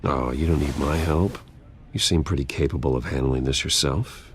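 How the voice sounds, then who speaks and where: A man's voice: a bass voice, fairly even intonation, normal speed, slightly rough. An actor voicing a guarded, brooding billionaire man in his thirties in a video game, terse and dry. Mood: terse, commanding. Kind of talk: cinematic video game voice acting.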